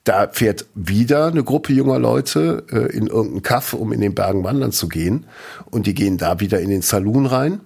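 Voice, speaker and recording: A man co-hosting a podcast, chatting casually and telling rambling, animated stories with lots of restarts. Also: fairly low pitch, moderate pace, vocal fry, husky. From Eifel region, Germany. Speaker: podcast co-host. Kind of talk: casual chat.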